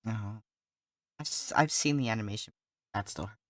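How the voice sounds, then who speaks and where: A woman's voice: a bass voice, a little fast, no echo, clean studio sound. A woman streaming a game, reacting casually in terse, informal interjections.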